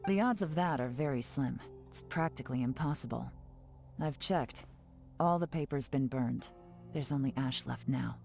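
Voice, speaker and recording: A woman voicing a shrewd, self-assured female intelligence agent in a video game, composed and deliberate. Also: extremely low pitch, gravelly, over music. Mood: cool, analytical.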